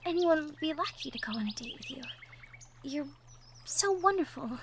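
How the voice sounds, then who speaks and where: A woman playing a shy, sweet young cottagecore woman in love, speaking softly and hesitantly in an intimate roleplay audio drama. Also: sits high, animated, moderate pace. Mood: encouraging, tender. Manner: hesitant, bashful, soft-spoken, trailing off. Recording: faint background noise, birdsong effects. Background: birdsong, outdoor nature sound effects.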